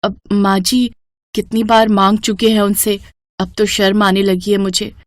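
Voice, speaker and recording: A woman's voice: medium pitch, level tone, a little slow, close to an everyday voice. A woman voicing a respectful, apologetic young daughter-in-law who speaks deferentially in a moral-story cartoon. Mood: pleading, weary.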